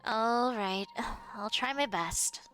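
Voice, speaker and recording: Wry, unhurried woman streamer. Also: fairly high pitch, fairly bright tone, poor audio. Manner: joking, wry, laughs often. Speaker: game streamer. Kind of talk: casual reactions over gameplay. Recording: with game music behind.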